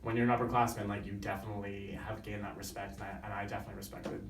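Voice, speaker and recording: A man's voice: lowish voice, completely flat delivery, rapid-fire. Rambling young man, echoey and distant. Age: young adult. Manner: rambling, many "like" fillers. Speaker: student interviewee. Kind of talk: casual interview answer. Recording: echoey room, distant mic, faint noise.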